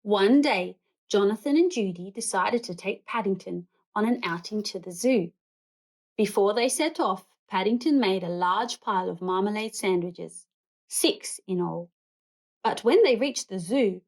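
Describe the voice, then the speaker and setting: A woman reading a picture book aloud to children in an expressive, animated storytelling way. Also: medium pitch, a little slow, rough recording. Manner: animated, acts out characters and animal noises.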